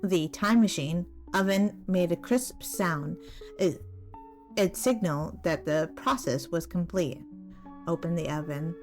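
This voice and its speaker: Woman gamer, lowish voice, unhurried halting delivery, casual let's-play, murmuring to herself, faint music behind. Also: darkish tone. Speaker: gaming streamer playing a visual novel. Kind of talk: casual let's-play, reading game text aloud.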